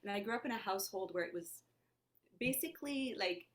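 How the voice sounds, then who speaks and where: A woman from Lebanon whose first language is Armenian talks as an interview guest. She speaks in a reflective, searching way, often voicing questions to herself, in a mid-pitched voice. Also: expressive, fairly quick. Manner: thoughtful, searching, asks herself questions aloud. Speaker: actor and playwright guest. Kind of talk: conversational interview.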